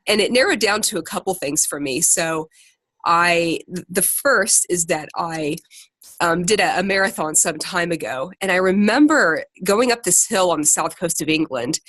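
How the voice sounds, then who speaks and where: A woman's voice: lowish voice, a bit bright, no echo. An American woman with a chatty, informal, self-deprecating way of talking, giving a casual motivational talk to a group on a conference call. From Ohio, United States. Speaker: secondary teacher and podcaster leading a group call.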